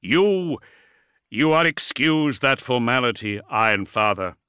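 A man voicing a towering, grave warlord in an audiobook, with formal, measured authority. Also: medium pitch, lively intonation, sharp tone, smooth, no room sound, clean studio sound. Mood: commanding.

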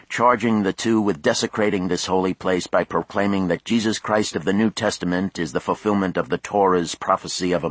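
A man's voice: on the low side, fairly bright tone, dry recording, rough recording. A man voicing an urgent on-the-scene television reporter in an audiobook, with formal, projected broadcast delivery.

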